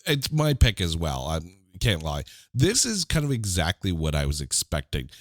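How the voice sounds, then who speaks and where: A man's voice: low voice, huge pitch swings, moderate pace, fairly bright tone, no room sound, studio quality. A man with a stuffy, slightly nasal voice hosting a casual music podcast, joking with listeners in mock-dramatic asides. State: congested, under the weather. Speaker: podcast host.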